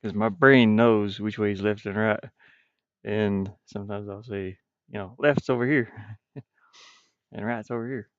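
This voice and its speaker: A man with a gravelly voice rambles and mutters to himself, thinking aloud casually as he streams his game development work. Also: fairly low pitch, steady tone, measured pace, no echo. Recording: dull, muffled, dry lo-fi microphone.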